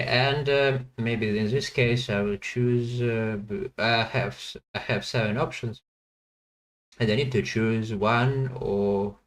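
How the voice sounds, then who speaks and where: A man streaming a language-guessing game, thinking aloud in a halting way with many fillers and self-corrections, and chatting to viewers between guesses. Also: fairly low pitch.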